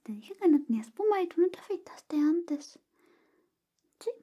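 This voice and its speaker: Soft, very high-pitched woman doing ASMR. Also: big pitch swings, moderate pace. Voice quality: soft, often whispered. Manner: playful, apologetic friend roleplay. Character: affectionate, clumsy female best friend giving a shave. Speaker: ASMR roleplay performer. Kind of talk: ASMR personal attention roleplay.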